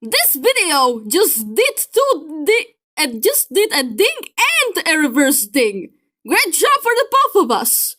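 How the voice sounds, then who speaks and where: A woman's voice: high-pitched, huge pitch swings, slow, bright, forceful tone. A woman giving casual reaction commentary as a video creator, playful and teasing, with exclamations.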